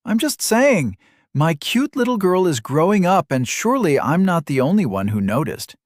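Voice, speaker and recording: A man voicing a doting middle-aged father who gently pries into his daughter's life. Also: fairly high pitch, very animated, moderate pace, dry recording, clean studio sound, voice pushed up. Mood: teasing.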